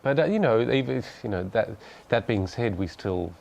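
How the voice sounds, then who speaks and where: A man's voice: mid-range voice, lively intonation, moderate pace, creaky, darkish tone, a little hiss or hum. A man, answering interview questions hesitantly and chuckling easily. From Australia. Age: 30s. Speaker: guest musician. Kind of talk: casual interview chat.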